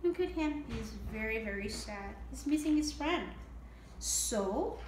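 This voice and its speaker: High-pitched woman reading slowly to young children. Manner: expressive, explains simply to young children. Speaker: early childhood story time host. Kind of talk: children's picture book read-aloud. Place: indoor home room with table. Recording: echoey room with audible background noise.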